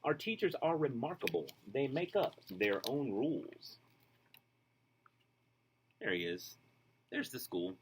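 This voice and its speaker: A man reading a children's storybook aloud, expressive and rhythmic, with casual asides. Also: medium pitch, slow pace, long pauses, a little hiss or hum. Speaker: storybook reader.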